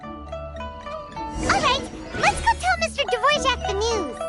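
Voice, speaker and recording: Game fairy companion: tiny, squeaky, bubbly chatterbox with lively pitch swings, loud music behind. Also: female voice, slow pace, heavily acted. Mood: excited. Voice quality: squeaky, childlike. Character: small chirpy childlike fairy companion, eager, talks of herself by name. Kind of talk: video game character acting. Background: loud tinkling game music.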